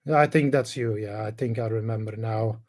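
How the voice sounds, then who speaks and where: A middle-aged man from Belgium, Dutch-speaking by birth, who talks English with a Flemish accent as a caller, halting and restarting often in casual chat. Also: fairly low pitch, a little mellow. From Belgium, Dutch-speaking part. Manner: halting, hesitant, many restarts and fillers.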